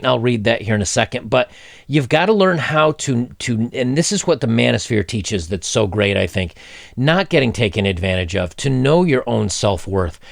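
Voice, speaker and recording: Man, 40s, gravelly, blunt commentary host, dry close mic. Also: medium pitch, dry recording. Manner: blunt, opinionated, animated, with hand gestures. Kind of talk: reading and commenting on a news article. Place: indoor home studio, seated in gaming chair. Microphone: desk microphone in front.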